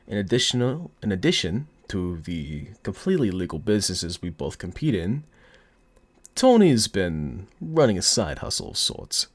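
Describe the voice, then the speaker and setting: Casting: a man acting a cocky male mob boss for audio roleplay; deep voice, very lively intonation, put-on mobster accent, flirtatious menace, teasing drawl. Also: no echo, heavily acted. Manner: smug, teasing, theatrical drawl.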